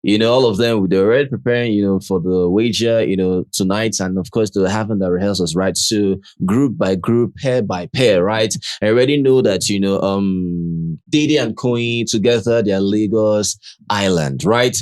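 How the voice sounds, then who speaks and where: A man's voice: lowish voice, normal speed, a little mellow. A Nigerian man hosting a casual commentary show, chatty, ending nearly every phrase with "right?" and slipping into Pidgin and Yoruba. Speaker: host.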